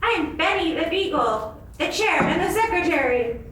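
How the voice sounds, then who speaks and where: An orderly beagle puppet voiced by a woman runs a meeting in a very high, formal voice. The sound is distant and heavily echoed. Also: animated, moderate pace, fairly bright tone, slight background noise. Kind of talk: live amateur puppet show. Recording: very echoey room, distant mic.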